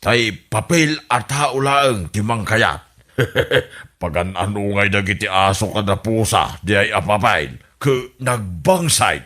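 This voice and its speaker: A man's voice: fairly low pitch, slow, pushed and bright. A man playing a scheming old husband who keeps chuckling at his own plans in a comedy radio drama. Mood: sly. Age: older. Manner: chuckles constantly, sly and boastful. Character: scheming old husband running for village captain.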